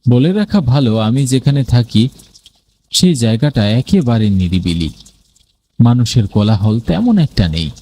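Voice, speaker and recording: A man's voice: medium pitch, big pitch swings, normal speed, warm tone, slight background noise. A man narrating a scripted first-person ghost story for a cartoon.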